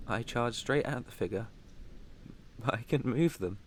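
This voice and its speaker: A British man playing a protective, haunted yet tender vampire master in an intimate ASMR roleplay, speaking softly, earnestly and deliberately. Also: medium pitch, slight background noise, natural voice. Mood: haunted, solemn.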